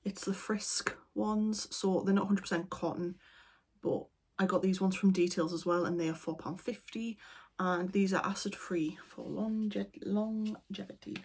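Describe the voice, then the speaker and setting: A woman chatting casually as an art vlogger at home, talking in a relaxed, rambling way into her camera. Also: medium pitch, unhurried, breathy edge. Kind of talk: casual vlog chat. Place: at home indoors. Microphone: camera mic.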